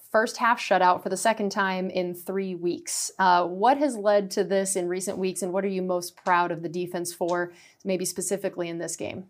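Young female sports reporter, slightly low voice, long rambling questions. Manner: long wordy questions with fillers. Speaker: team reporter and show host. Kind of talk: radio interview questions.

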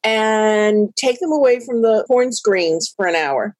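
Female guest expert, animated and opinionated, bright higher pitch. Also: takes it slowly, dry recording. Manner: animated, playful, acts out quoted voices. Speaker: guest, sex educator. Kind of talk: opinionated interview answer.

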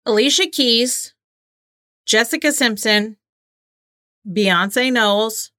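A woman's voice: on the high side, slow, bright, forceful tone, no echo, studio quality. A woman in her thirties co-hosting a podcast in casual, conversational chat. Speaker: podcast co-host and trivia game player.

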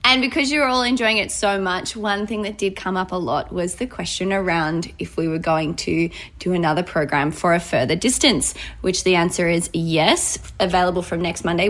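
A woman's voice: on the low side, normal speed, few pauses, fairly bright tone. A woman co-hosting a podcast in casual chat, upbeat, enthusiastic and giggly. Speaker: podcast co-host.

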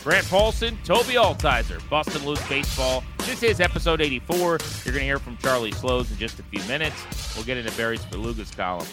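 A man hosting a sports podcast, talking baseball in an animated, conversational style with a co-host. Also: medium pitch, fairly quick, few pauses, music in the background.

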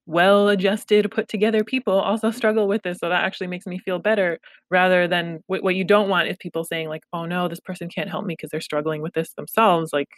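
A woman's voice: fairly low pitch, flat delivery, a little fast, hardly any pauses, no room sound. A woman therapist from Los Angeles, a Pashto speaker, talking candidly and reflectively with self-deprecating humor in a conversational interview. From Los Angeles, United States. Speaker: therapist, guest.

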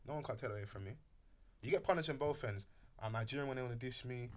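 A man's voice: medium pitch, fast talker. A young man, chatting animatedly on a podcast and telling stories in slang. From Britain. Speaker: podcast host. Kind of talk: casual podcast chat.

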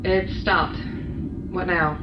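A woman's voice: lowish voice, takes it slowly, music in the background. Echoey, uneasy female test subject acted. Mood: uneasy, hesitant. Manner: hesitant, blunt, expressive. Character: uneasy, foul-mouthed convict test subject, thirties. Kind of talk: audio drama acting. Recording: dull, echoey metal chamber with clear noise.

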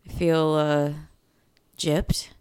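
Wry woman with a smooth lowish voice. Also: level tone, drawn-out words, darkish tone, dry recording. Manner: wry, laughs often. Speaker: podcast co-host. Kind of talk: casual podcast chat.

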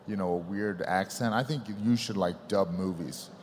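A man bantering quickly and teasingly in casual chat. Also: sits low. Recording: long hall reverb with faint noise.

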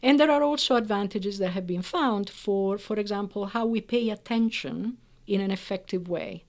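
A woman whose first language is Italian, a researcher explaining the benefits of bilingualism in an interview, speaking carefully with frequent pauses and fillers. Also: mid-range voice, darkish tone. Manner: measured, explanatory, hesitant fillers.

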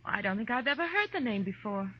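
An actress playing a polite, guarded society wife in a 1940s radio drama. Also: female voice, fairly high pitch, sing-song, fast, husky. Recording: dull 1940s radio recording.

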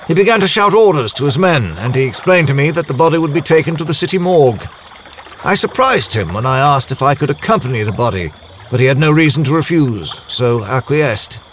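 An actor playing a genteel, proud nobleman diplomat, recounting his story in formal, measured language for an audio drama. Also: a little high, fairly bright tone, slight background noise. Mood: somber, reflective. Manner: formal, measured, literary diction. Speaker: voice actor, lead role. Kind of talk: first-person audio drama narration.

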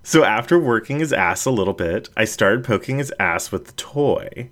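A man voicing a playful adult female animal character in an audiobook, with an animated, confiding storytelling manner. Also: lowish voice, normal speed. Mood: confiding, fond. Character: playful adult female anthropomorphic animal sharing personal anecdotes.

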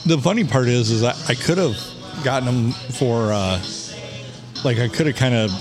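Dry deadpan man with music behind. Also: mid-range voice, moderate pace. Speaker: podcast co-host. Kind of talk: casual crude banter.

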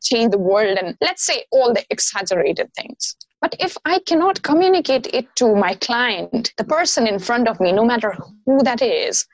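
A woman's voice: fairly high pitch, lively intonation, normal speed, hardly any pauses, no room sound, rough recording. A woman from Bihar with an Indian English accent and Maithili as her first language, speaking earnestly as a podcast guest with many "you know" fillers. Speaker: guest, diversity researcher. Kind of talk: casual podcast conversation.

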